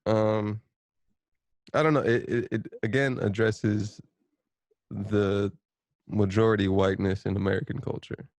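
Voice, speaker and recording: A man's voice: fairly low pitch, little pitch movement, measured pace, pauses often, darkish tone, no room sound. A man co-hosting a podcast in casual chat, hesitant and rambling, repeating himself and swearing casually. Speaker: podcast co-host.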